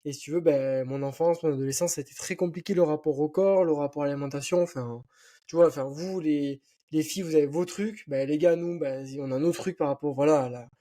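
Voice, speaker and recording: A man's voice: fairly high pitch, brisk, warm tone. A young man from southwestern France with a light southern accent, chatting casually as a podcast guest and rambling with lots of fillers. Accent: southwestern French.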